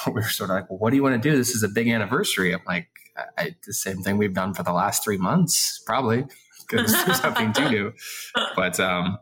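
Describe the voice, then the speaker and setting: Man, 40s, low-ish voice; self-deprecating, laughing podcast guest. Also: big pitch swings, a little fast, fairly bright tone, low-quality recording. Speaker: actor guest. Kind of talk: casual podcast interview.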